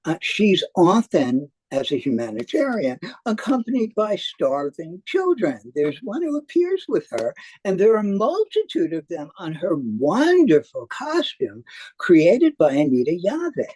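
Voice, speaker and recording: A man delivers a spoken review as a theater critic. His pace is slow and often hesitant, while his pitch moves around a lot. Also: wildly swooping pitch, darkish tone, husky, no room sound, poor audio. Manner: halting, with frequent hesitations. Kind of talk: semi-scripted spoken review.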